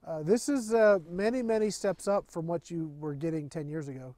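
A middle-aged man with a high voice chats loosely through a live stream from an open tent at an outdoor range. He passes on viewer questions in a relaxed, easygoing way, and his voice sounds dry and close. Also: moderate pace, dry recording. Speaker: livestream host. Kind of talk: casual live product chat.

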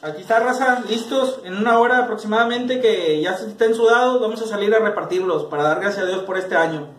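A man in his thirties with a Mexican accent, hosting a casual cooking tutorial in a small home kitchen. He talks straight to the camera, relaxed, while he chops and stirs. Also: high-pitched, fairly quick. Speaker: cooking channel host. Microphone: camera mic, medium distance. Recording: echoey kitchen with faint noise.

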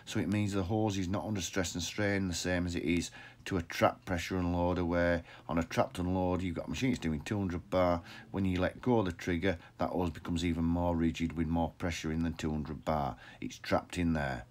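Deep-voiced man explaining in a workshop. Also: fairly even intonation, fairly quick. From England. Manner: plain-spoken, repeats words and restarts, imitates machine sounds. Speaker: pressure washer business owner. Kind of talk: informal how-to explainer. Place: indoor workshop with equipment shelves. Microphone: phone camera held close below the face.

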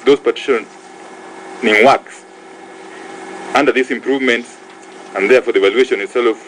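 A man's voice: medium pitch, moderate pace, fairly bright tone, a little hiss or hum, rough recording. Man chairing a parliamentary hearing. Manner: pressing, formal questioning. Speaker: committee chair. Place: committee room.

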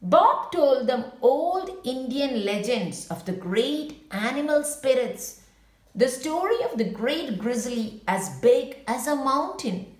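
Slow, high-voiced woman reading a storybook. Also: roomy sound. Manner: expressive, unhurried picture-book delivery. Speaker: children's storybook reader. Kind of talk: read-aloud storytelling for children with brief asides.

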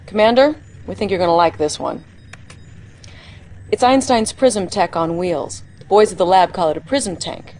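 A woman playing a brisk, businesslike military intelligence officer, briefing crisply in a game cutscene. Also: medium pitch, darkish tone, a little hiss or hum, close to an everyday voice. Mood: confident.

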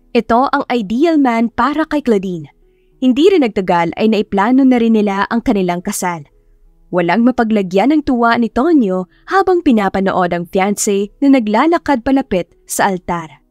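A woman's voice: fairly high pitch, a little mellow, dry recording. A woman narrating a scripted romantic story as an audiobook narrator.

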